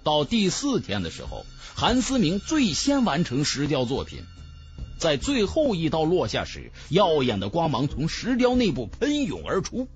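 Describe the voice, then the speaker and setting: A man narrating a fantasy novel in a dramatic, expressive storytelling manner. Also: on the high side, moderate pace. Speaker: audiobook narrator. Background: background music.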